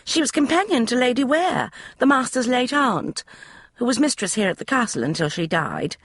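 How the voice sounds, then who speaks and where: A woman's voice: on the high side, sing-song, normal speed, breathy edge, no echo, clean studio sound, voice pushed up. A woman voicing a young, flustered housemaid who gossips in broad, common speech. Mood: gossipy.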